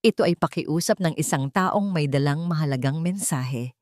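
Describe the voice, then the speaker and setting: A woman storyteller giving a dramatic scripted narration with expressive delivery and pauses. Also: low voice, no echo, clean studio sound.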